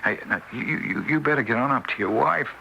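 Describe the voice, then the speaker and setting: A man's voice: mid-range voice, fairly quick, creaky, pushed and bright, muffled recording. An actor playing a timid, bumbling, apologetic male ghost, hesitant and stammering, with an American accent in vintage radio drama style. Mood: sheepish, hesitant. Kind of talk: mid-century American radio drama acting. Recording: hissy old radio play recording.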